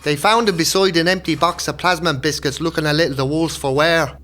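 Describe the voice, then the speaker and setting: An actor playing a wry, steady Irish rebel volunteer in an audio play, with an Irish accent. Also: male voice, on the high side, brisk, soft background music.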